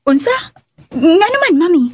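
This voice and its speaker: A scheming, lovestruck young daughter in a radio drama. Her voice is high and girlish, coy and bold. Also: very animated, moderate pace, a little mellow.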